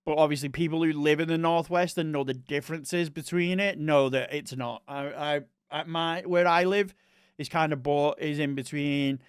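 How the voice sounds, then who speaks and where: A man's voice: a little high, steady tone, moderate pace, clean tone, no echo, clean studio sound. A man with a Northwest English accent, a mix of Manchester and Liverpool, chatting casually on a live stream, rambling and correcting himself as he reads viewer messages.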